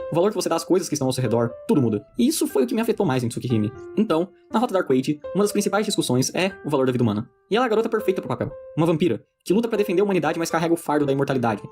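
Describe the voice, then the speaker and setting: A Brazilian man delivers scripted essay narration. He speaks extremely fast, in a slightly high voice, with music playing behind him. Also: darkish tone. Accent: Brazilian Portuguese. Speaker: video essayist. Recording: music bed under narration.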